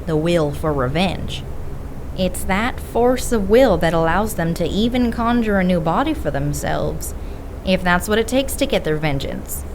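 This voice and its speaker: A woman's voice: fairly low pitch, expressive, unhurried, background noise. A woman playing a stern female investigator who knows supernatural lore, explaining firmly in an audio drama. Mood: lecturing. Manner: lecturing, firm. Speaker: occult expert investigator.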